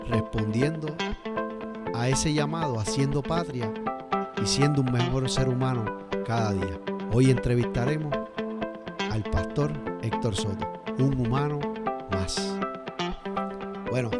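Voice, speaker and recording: A man's voice: mid-range voice, unhurried, pauses often, loud music behind the voice. A man with a Puerto Rican Spanish accent interviewing casually on a podcast, often repeating words as he forms his sentences. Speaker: guest interviewer.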